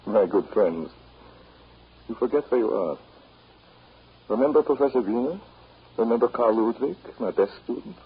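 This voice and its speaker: A man playing a weary, ironic older German professor, measured and grave, in a 1930s radio drama. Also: mid-range voice, lively intonation, slightly rough. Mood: bitter irony. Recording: muffled, slightly noisy 1930s radio recording.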